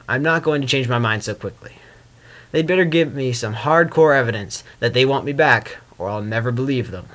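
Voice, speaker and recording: A man's voice: medium pitch, a bit bright. A man voicing a vain, sarcastic, grumbling male spirit of chaos in a theatrical fan fiction reading. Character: Discord, male chaos spirit, sardonic, vain, wary prisoner. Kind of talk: dramatic first-person fan fiction reading.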